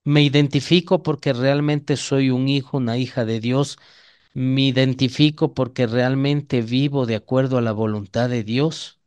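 A man's voice: mid-range voice, fairly even intonation, a little slow, darkish tone, clear, resonant tone. A man with an Ecuadorian Spanish accent preaching an evangelical sermon in a didactic way, often putting questions to his listeners.

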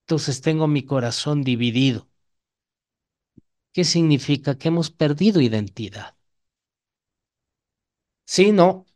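Ecuadorian guy preaching, slightly high smooth clear voice, teaches and asks questions. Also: animated, slow, pauses often, darkish tone. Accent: Ecuadorian Spanish. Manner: didactic, rhetorical questions to the listeners. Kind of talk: evangelical sermon.

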